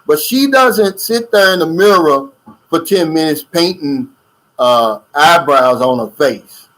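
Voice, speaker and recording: Middle-aged male channel host, loose chatty livestream delivery, pitch a touch high. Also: expressive, slow pace, fairly bright tone, poor audio. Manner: loose, chatty, drawling with fillers and asides. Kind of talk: casual livestream chat reading viewer comments. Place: lives in the Philippines.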